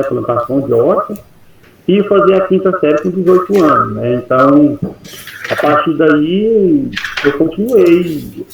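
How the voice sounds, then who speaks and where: Man in his fifties, gravelly, somewhat high voice, rambling folksy storytelling, very echoey room, faint hiss. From Goiás, Brazil. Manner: unhurried, rambling, folksy storytelling with soft laughs. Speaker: mentee teacher. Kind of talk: personal life story in an online meeting.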